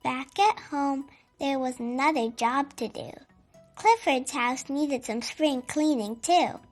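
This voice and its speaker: A very young-sounding or high-voiced reader telling a children's story in a simple, expressive read-aloud style. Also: slow pace, faint music behind.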